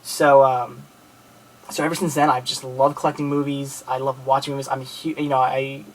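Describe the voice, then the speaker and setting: A man's voice: medium pitch, little pitch movement, normal speed, rough recording. A man in his late twenties from outside Philadelphia, talking casually to camera about his movie collection, rambling with many fillers and restarts in a friendly, grateful way. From outside Philadelphia, Pennsylvania.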